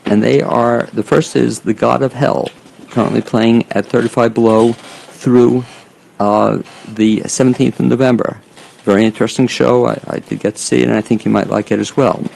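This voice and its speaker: A man's voice: medium pitch, vocal fry, darkish tone, poor audio. A man hosting a talk show, chatting casually with guests, joking and stumbling a little over names. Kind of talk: casual interview chat.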